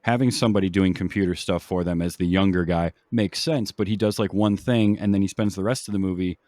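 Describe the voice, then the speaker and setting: A man hosting a casual film discussion, explaining things in a measured, halting way. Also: deep voice, steady tone, darkish tone, no room sound. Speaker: podcast host.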